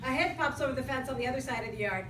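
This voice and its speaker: A woman's voice: high voice, lively intonation, racing, fairly bright tone. A woman narrating the stage directions of a script at a live staged reading. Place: live theater stage before studio audience. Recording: echoey stage, distant mic, audience noise.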